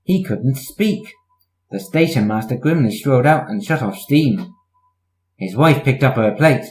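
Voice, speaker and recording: Animated male storyteller, echoey room. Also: mid-range voice, a little slow. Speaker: story reader. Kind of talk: animated children's storybook narration.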